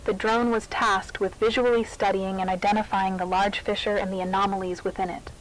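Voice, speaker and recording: A woman's voice: mid-range voice, flat delivery, fairly quick, natural voice. A woman playing a military institute narrator, reading a scripted confidential briefing in a formal, clinical manner. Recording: faintly noisy briefing tape.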